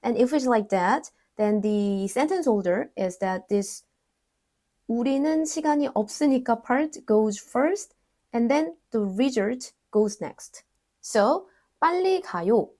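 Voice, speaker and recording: A young woman, a native Korean speaker, explaining grammar in English in a casual online lesson and repeating Korean example words slowly. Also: on the high side, expressive, mellow. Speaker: Korean language teacher.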